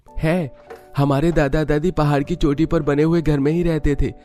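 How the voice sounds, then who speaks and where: Male narrator as young cartoon husband: pitched up, cheerful but careful, coaxing tone, music bed. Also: little pitch movement, normal speed, warm tone, lightly acted. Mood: explaining. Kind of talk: cartoon story dialogue.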